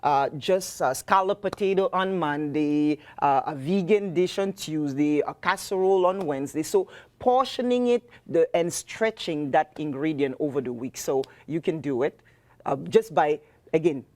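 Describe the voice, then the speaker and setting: Cameroonian woman, halting and warm. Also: low-pitched. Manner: warm, halting, many fillers. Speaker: restaurant owner and cooking guest. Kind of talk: studio interview.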